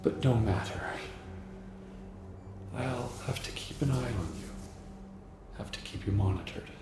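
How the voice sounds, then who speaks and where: Man acting a deep, slow, detached alien doctor. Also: background noise, lightly acted. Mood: detached, formal. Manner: halting, musing, formal, with hesitant repetitions. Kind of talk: ASMR roleplay. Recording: echoey voice under loud music. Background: loud music.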